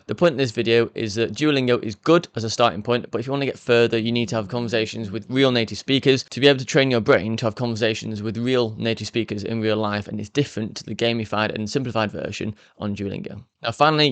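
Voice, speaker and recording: A man, hosting a language learning channel and explaining step by step in a brisk, upbeat way. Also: on the low side, no room sound. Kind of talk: explainer talking to camera.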